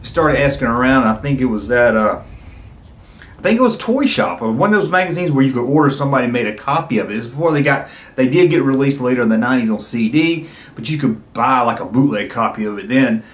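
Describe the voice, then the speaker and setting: Middle aged guy rambling excitedly about old memories, casual vlog, kinda echoey room. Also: medium pitch, quick pace. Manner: enthusiastic, rambling, conversational. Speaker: YouTube video host. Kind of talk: casual nostalgic reminiscing to viewers.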